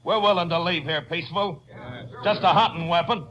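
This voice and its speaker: A man's voice: sits high, brisk, a bit bright, muffled recording, a little hiss or hum. An American man playing a defiant, shifty rural mob leader in a 1950s radio drama, arguing and evading. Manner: argumentative, evasive.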